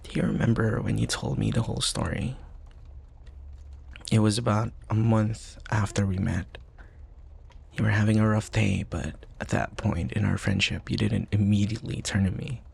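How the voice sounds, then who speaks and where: A man playing a young college student, a protective, teasing and tender best friend, in an intimate ASMR roleplay with soft, sighing, hesitant delivery. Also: on the low side, measured pace, long pauses, full character voice. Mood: tender, caring. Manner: soft, sighing, hesitant stammers, breathy asides.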